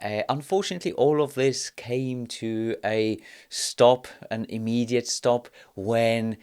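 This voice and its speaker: A man in his thirties from South Africa and Poland, hosting a podcast and telling his life story in a measured, reflective way, pausing often on "uh". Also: medium pitch, darkish tone, smooth. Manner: measured, reflective, with frequent "uh" pauses. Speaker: podcast host. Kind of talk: personal storytelling monologue.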